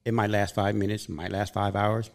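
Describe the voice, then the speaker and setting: A man in his fifties with a low, gravelly voice hosts a podcast. He talks in a casual, earnest and upbeat way, telling stories about his life with steady conviction. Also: a little fast. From Southern California. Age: 50s. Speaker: podcast host.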